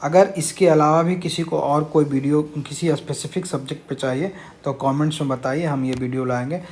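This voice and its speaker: A man's voice: on the high side, fairly even intonation, a little fast, a little mellow. A man teaching an informal exam-prep lesson, explaining answers and mixing in English words.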